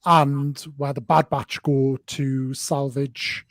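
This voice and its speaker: A man's voice: mid-range voice, flat delivery, slow, fairly bright tone, no room sound, studio quality. A young man, hosting a casual livestream chat in a playful, teasing way. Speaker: host.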